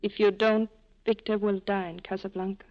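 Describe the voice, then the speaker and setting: A woman's voice: mid-range voice, flat delivery, normal speed, highs cut off, natural voice. An actress playing a torn, desperate woman pleading with a former lover, in earnest 1940s radio drama style on an old broadcast recording. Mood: desperate reproach.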